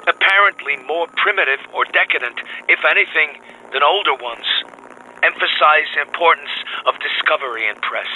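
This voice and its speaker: A man playing an excited, driven field scientist, dictating clipped, precise, urgent bulletins over a wireless link. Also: a little high, a little hiss or hum, poor audio. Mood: excited, proud. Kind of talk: clipped telegraphic radio drama reading.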